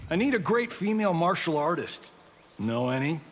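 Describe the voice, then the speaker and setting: An actor playing a vain, wisecracking film star turned fighter in a video game, smug and joking. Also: male voice, on the high side, sing-song, normal speed. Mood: breezy. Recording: hall reverb with some background noise.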